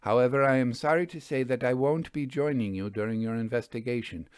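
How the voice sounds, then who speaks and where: A man voicing a vain, flirtatious male stage magician with a smooth, showy, deliberate delivery in a storybook reading. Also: medium pitch, dry recording, clean studio sound, close to an everyday voice. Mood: polite, showy. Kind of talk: audiobook storybook dialogue.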